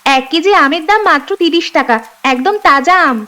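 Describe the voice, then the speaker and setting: A woman plays a pair of caring young sisters in a village cartoon. Her voice is very high, and she talks urgently and earnestly. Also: a little fast, sharp tone. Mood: firm. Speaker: voice actor. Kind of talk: cartoon voice acting.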